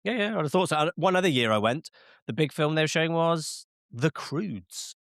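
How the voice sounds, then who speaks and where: A man's voice: a little high, lively intonation, normal speed, fairly bright tone, no room sound, clean studio sound. A man hosting a casual film podcast, chatting with frequent fillers and restarts while sounding slightly nasal from a cold. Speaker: podcast host.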